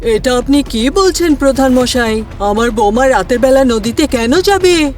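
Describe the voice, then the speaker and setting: A woman's voice: high voice, lively intonation, a little slow, darkish tone, soft background music. Woman as a bossy, scolding mother-in-law. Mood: shocked. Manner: scolding, commanding.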